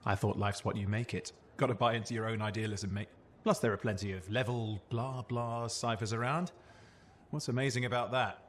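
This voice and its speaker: A man's voice: on the low side, fairly even intonation, a little fast, a little hiss or hum, natural voice. A man acting a chatty, restless young sidekick in a video game, with jokey, boastful banter that hides some insecurity. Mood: cocky.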